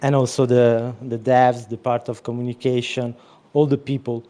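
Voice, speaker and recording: A man's voice: mid-range voice, level tone, moderate pace, warm tone. A man in a casual online audio chat, explaining his project at length as its organizer. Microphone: remote call audio.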